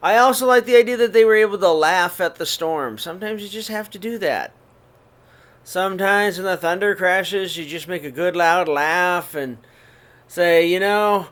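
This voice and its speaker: A middle-aged man reading a children's picture book aloud in his living room, then talking warmly to young listeners, with a gentle, unhurried pace and the odd throat clear. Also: sits high. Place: living room, seated on a sofa before curtains. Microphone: webcam or laptop camera at close range.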